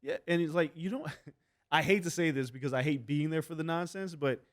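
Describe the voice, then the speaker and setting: A man's voice: fairly high pitch, a little fast. A man with an American accent co-hosting a sports podcast, casual, conversational and opinionated. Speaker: podcast co-host. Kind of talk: casual sports commentary and interviewing.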